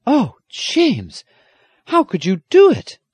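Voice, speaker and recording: Man voicing an excitable, high-pitched young storybook girl. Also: slow pace, slightly rough, no room sound, full character voice. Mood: shocked reproach. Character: excited, tender-hearted young girl, granddaughter of a squire. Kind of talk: audiobook character acting.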